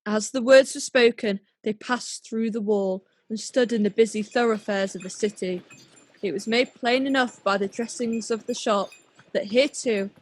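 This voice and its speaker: A woman's voice: a little high, normal speed, dry recording, close to an everyday voice, low-quality recording. A woman narrating a classic tale as a scripted radio play, in storybook style. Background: music.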